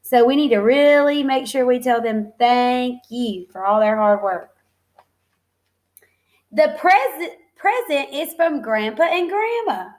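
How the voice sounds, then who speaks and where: High-voiced woman reading aloud to children. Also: slow. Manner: simple child-directed phrasing, expressive, encouraging. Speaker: teacher reading a picture book to young children. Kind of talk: read-aloud storytelling with explanatory asides and questions to children.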